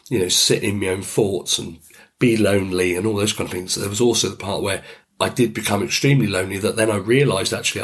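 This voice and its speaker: Middle-aged male podcast host, croaky with a cold, lowish and husky, relaxed rambling delivery. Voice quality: deep and croaky. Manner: easygoing, rambling, frequent "you know". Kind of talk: casual reflective monologue.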